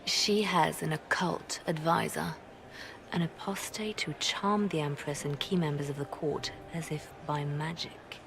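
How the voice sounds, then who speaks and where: An actress playing a calm, businesslike female spymaster in a video game cutscene. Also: low voice, unhurried, gravelly, background noise. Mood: brisk. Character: cool scheming female spymaster. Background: music.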